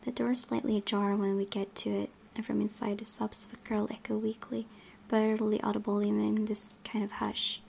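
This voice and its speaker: A woman's voice: mid-range voice, flat delivery, fairly quick, creaky, a little mellow, a little hiss or hum. A woman hosting a gaming channel, reading a visual novel's narration aloud with occasional asides.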